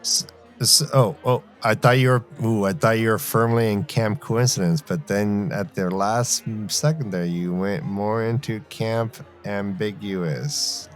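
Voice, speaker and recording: A man's voice: lowish voice, steady tone, unhurried, faint music behind. Playfully argumentative man co-hosting a podcast. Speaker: podcast co-host. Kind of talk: animated fan debate, casual chat.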